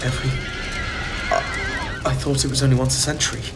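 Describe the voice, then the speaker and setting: A man acting as a terrified narrator of a gothic horror tale. His delivery is halting and full of dread, stumbling over words. Music plays behind him. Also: mid-range voice, little pitch movement, creaky, lightly acted. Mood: frightened confusion. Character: terrified male narrator summoned to an ancient family rite.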